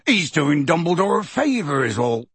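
A gruff half-giant gamekeeper, blunt and stubborn, voiced by a man in a testy, outspoken storybook reading. The voice has a slightly husky edge. The recording is close and dry. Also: fairly high pitch, sing-song, normal speed, a bit bright, no echo, voice pushed up, full character voice.

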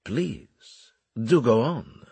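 A man's voice: mid-range voice, animated, drawn-out words, creaky, dark tone, husky, no echo, exaggerated voice. A man voicing a proud, theatrical military commander in an audiobook, with florid, mocking formal speech. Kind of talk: audiobook character acting.

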